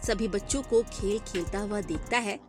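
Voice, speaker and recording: A woman narrating a children's cartoon story in a clear, storytelling cadence. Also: mid-range voice, normal speed, a little mellow, faint music behind.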